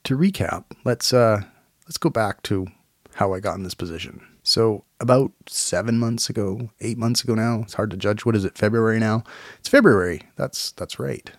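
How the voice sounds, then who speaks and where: A man in his forties talks through a cold, his low voice gravelly, nasal and hoarse. He rambles in a self-deprecating way on his solo podcast. The recording is dry and close. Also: moderate pace, no room sound. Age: 40s. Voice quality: raspy, nasal. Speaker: podcast host. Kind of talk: casual solo podcast monologue. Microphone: home recording mic.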